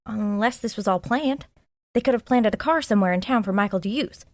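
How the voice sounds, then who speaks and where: A woman's voice: medium pitch, fairly quick, no room sound, studio quality, lightly acted. An actress playing an eager, chatty sidekick to a woman sleuth, earnest and inquisitive, in an audio drama. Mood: speculative, eager. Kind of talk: audio drama acting, dialogue.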